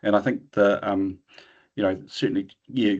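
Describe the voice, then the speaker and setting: A man's voice: fairly low pitch, steady tone, moderate pace, a little mellow, muffled recording. A man speaking as a panelist in a webinar, hesitant and stopping to restart his sentences, heard over a video call.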